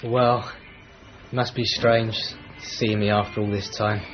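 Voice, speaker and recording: An actor playing a reserved, awkward former travelling companion, hesitant and clipped, in a radio drama. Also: male voice, fairly low pitch, little pitch movement, takes it slowly. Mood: awkward, guarded. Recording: dull sound with faint music behind.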